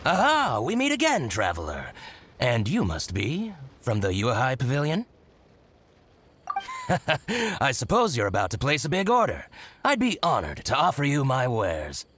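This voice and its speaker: An actor playing a scheming, spiteful shopkeeper in a video game, with exaggerated, exclamatory, gloating delivery. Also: male voice, medium pitch, huge pitch swings, measured pace, a bit bright, slight background noise. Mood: eager, flattering. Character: scheming, theatrical male shopkeeper, gloating and spiteful toward rival.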